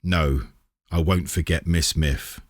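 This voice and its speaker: Man as a knowing, quietly menacing talking cat. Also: a bass voice, fairly even intonation, slow pace, dry recording, studio quality.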